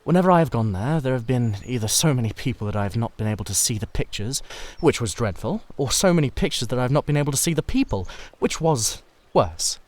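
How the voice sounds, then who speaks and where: A man's voice: medium pitch, expressive, fast, a little mellow, no echo, lightly acted. A languid aristocrat acted by a male reader holds forth in a classic novel reading. He is witty and vain, with a pompous delivery that stretches out the emphatic words. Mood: languid, opinionated.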